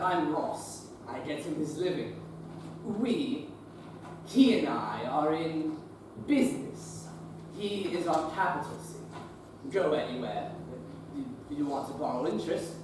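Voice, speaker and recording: Casting: young man as a pushy Victorian freak-show barker, lively swooping voice, echoey distant stage sound. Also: on the high side, noisy background. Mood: wheedling. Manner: hawking loudly, harsh and pushy. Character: coarse Victorian sideshow barker, bullying. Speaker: actor in a teen stage production. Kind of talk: stage play acting. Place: theater stage.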